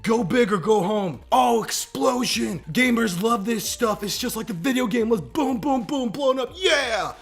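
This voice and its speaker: Man putting on a shouty, high-pitched gamer hype guy. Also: lively intonation, a little slow, vocal fry, few pauses, faint music behind, heavily acted. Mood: hyped. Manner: shouty, exclamatory, mocking exaggeration. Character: brash, over-excited male hype fan of blockbuster video games.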